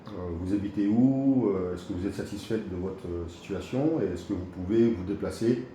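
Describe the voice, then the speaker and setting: A man's voice: low voice, normal speed, dark tone. A man interviewing a job candidate, asking structured questions in a polite, encouraging way with fillers. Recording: very echoey room with faint noise.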